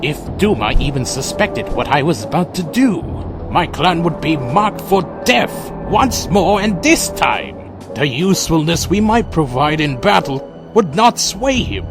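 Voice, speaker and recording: A man playing a proud, defiant vampire clan leader in an audio drama, formal and theatrically intense. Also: a little high. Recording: dramatic music behind.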